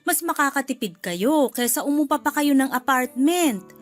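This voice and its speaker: A hospitable middle-aged mother in a Filipino radio drama, her voice on the high side. She is warm and gently persuasive over soft background music. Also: animated, moderate pace. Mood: insistent, persuasive.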